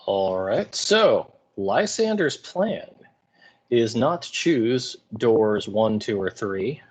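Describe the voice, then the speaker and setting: A man's voice: fairly low pitch, sing-song, slow, husky. A man teaching English, explaining and paraphrasing in a colloquial, informal lecture style.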